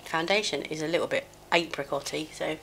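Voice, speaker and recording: A slightly low-pitched woman in middle age chats as she does her makeup at home, scattered and self-mocking, full of ums and restarts. She sounds a little chesty from a cold. A faint hum runs under the recording. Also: a little fast, creaky, a bit bright, rough recording. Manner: chatty, scattered, self-mocking, many fillers. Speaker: beauty vlogger. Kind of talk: rambling get-ready-with-me chat. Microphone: small mic clipped near her, sometimes covered.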